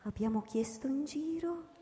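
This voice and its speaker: A woman playing a serene, curious and friendly alien in a radio drama, speaking politely and gently. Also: sits high, unhurried. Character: friendly female-voiced alien, serene, curious, church-loving. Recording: echoey, long reverberant space.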